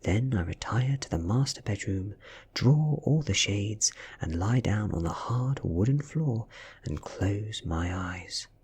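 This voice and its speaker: A British man reading a story in a soft ASMR style, half-whispered and breathy, with deliberate dramatic pauses. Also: lowish voice, animated, a little hiss or hum. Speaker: audiobook reader.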